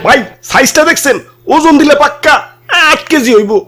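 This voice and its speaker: A man's voice: extremely high pitch, moderate pace, a bit bright. A man voicing a cunning, stubborn street watermelon seller in a comic cartoon, with a Bangladeshi accent and sing-song, haggling delivery. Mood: pushy. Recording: music under the voice.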